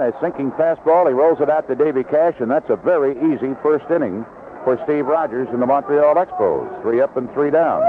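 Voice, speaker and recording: A North American man calling baseball play-by-play as a live radio announcer. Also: medium pitch. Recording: tinny, noisy vintage AM radio broadcast.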